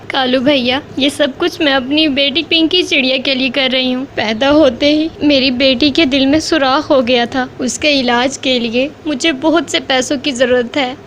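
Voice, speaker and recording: A poor mother sparrow in a cartoon, pleading and close to tears. Her voice sits very high, and a faint hiss runs underneath. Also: fairly bright tone. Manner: pleading, tearful. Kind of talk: cartoon voice acting.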